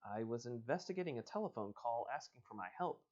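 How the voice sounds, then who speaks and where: A man's voice: mid-range voice, lively intonation, quick pace, a little mellow, muffled recording, lightly acted. A man voicing a young, skeptical, inquisitive detective in a storybook, with a plain questioning manner. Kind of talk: storybook character reading.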